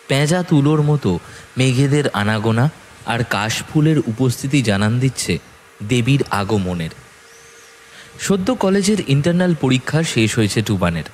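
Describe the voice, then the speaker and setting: A man narrating a scripted horror story in a dramatic, expressive storytelling manner with measured phrasing. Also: medium pitch, a little hiss or hum. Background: background music.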